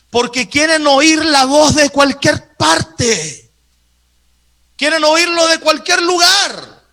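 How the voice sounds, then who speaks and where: Male preacher, very high bright voice, emphatic sermon delivery that hammers repeated phrases. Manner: acts out Bible dialogue. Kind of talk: sermon to a live congregation.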